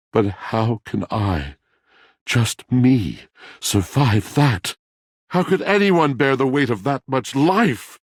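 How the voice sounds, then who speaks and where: An actor playing a brooding, melancholy washing machine in a video game, a wounded poet with a gravelly rumble and florid, pompous, theatrical delivery. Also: male voice, no echo, studio quality, full character voice. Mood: anguished. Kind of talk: video game character voice acting.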